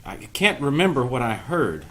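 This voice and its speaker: A man acting a gentle, bewildered old man in an audio drama reading, hesitant, earnest and full of wonder. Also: medium pitch, very animated, normal speed, slight background noise. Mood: wondering, insistent.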